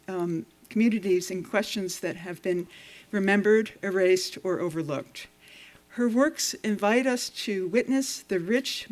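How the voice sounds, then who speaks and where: Host woman, measured formal intro read from notes, cinema theater at a screening event. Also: mid-range voice.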